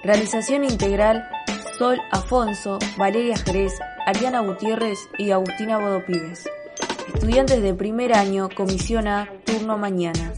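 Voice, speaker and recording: A woman's voice: mid-range voice, normal speed. An Argentine woman reading scripted radio credits as an announcer. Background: music.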